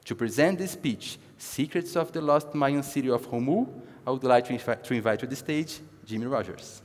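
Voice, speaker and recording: A man, as event host, reads a measured, formal introduction of the next speaker. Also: mid-range voice, background noise. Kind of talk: read introduction. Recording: reverberant hall.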